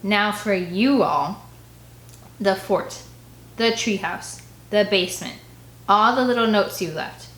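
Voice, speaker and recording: A woman's voice: mid-range voice, slow, long pauses, a bit bright. Woman voicing a stern father, roomy sound. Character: stern, authoritative father, government man.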